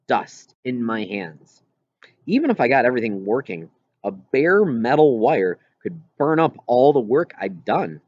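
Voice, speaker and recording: A man reading a children's novel aloud as scripted narration, with expressive storytelling delivery. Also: medium pitch, dry recording, muffled recording. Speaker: audiobook reader.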